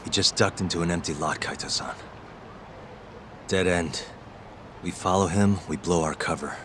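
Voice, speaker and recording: An actor playing a composed, street-smart private detective in a video game dub, speaking in a cool, understated, self-assured way. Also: male voice, sits low, normal speed, a little hiss or hum, lightly acted. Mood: low-key, watchful. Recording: game audio with music underneath. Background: background music.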